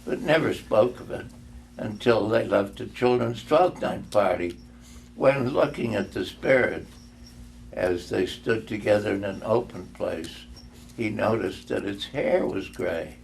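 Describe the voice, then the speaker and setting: An older man giving a dramatic storybook reading in a measured, halting manner, sometimes stumbling and repeating words. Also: fairly low pitch, creaky, slight background noise. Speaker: reader.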